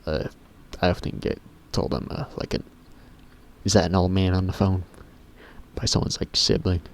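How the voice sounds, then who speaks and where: A teenage boy with a very deep voice, rambling casually to camera in a vlog, often stopping himself mid-sentence and swearing offhandedly. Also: level tone, normal speed, vocal fry. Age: teens. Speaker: YouTuber.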